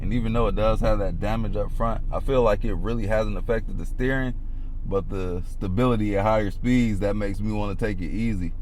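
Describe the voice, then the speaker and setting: A man with a raspy voice and an American accent, giving laid-back, colloquial driving commentary to viewers. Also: steady tone, fairly quick. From Michigan, United States. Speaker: car reviewer vlogger. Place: inside a small car driving on the highway. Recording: loud road and engine noise. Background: heavy road noise, vehicle rumble.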